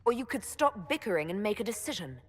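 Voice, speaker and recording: An actress playing a composed heroine in a video game, speaking in a direct, questioning way. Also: female voice, mid-range voice, big pitch swings, fast. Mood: brisk. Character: composed, direct young heroine leading negotiations. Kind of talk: video game cutscene acting. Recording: reverberant hall sound.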